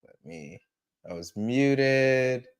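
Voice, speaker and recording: A man's voice: mid-range voice, lively intonation, very slow, clean tone. A man hosting a casual tech livestream, a little congested from allergies, repeating himself and greeting viewers by name. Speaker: livestream host.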